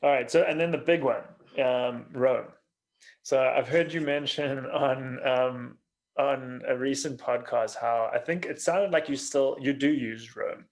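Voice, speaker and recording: A man hosting a conversational podcast interview, halting as he pieces his questions together. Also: mid-range voice, steady tone. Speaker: podcast host interviewing a guest. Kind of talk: casual interview chat.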